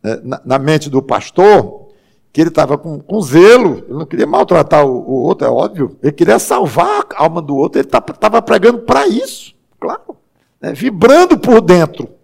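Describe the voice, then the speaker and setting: A man, preaching a conversational sermon as a pastor, halting and restarting often and slowing down for emphasis. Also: sits high, very animated, vocal fry.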